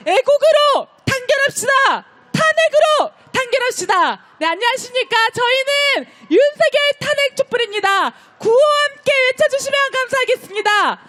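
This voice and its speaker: A woman leading a street protest, delivering impassioned, declamatory speech and shouted chants to the marchers. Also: very high-pitched, lively intonation, normal speed, few pauses. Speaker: rally host leading chants. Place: outdoor street march beside a park.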